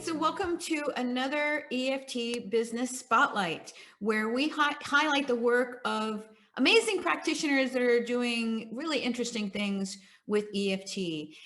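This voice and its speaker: A woman's voice: fairly high pitch, fairly bright tone. A woman hosting an online interview, upbeat and enthusiastic as she welcomes and questions her guest. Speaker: interview host.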